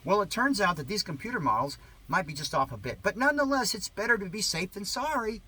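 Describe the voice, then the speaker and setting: A man's voice: high voice, animated, quick pace, sharp tone, breathy edge, rough recording. A man reading a satirical monologue aloud in a sarcastic, mock-earnest, theatrical way with exaggerated emphasis. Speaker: reader of a satirical article.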